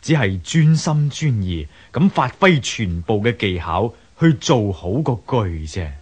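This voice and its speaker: A man acting a humble, earnest woodcarver of ancient China in a radio drama, husky, measured and deferential, with a Hong Kong Cantonese accent. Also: on the low side, big pitch swings, darkish tone.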